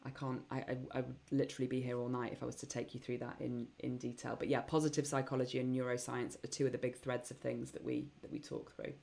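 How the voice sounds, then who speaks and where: A woman hosting a live online Q&A session, chatty and enthusiastic, with many ums and a voice getting croaky. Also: very deep voice, quick pace, few pauses, a little mellow. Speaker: webinar host and program founder.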